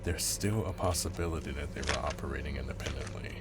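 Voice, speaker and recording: An actor playing a cold, composed, calculating male operative in an audio drama, speaking formally and matter-of-factly. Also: a bass voice. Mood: cool, matter-of-fact. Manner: measured, matter-of-fact, formal. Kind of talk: cinematic audio drama acting. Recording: clear background noise and ambience.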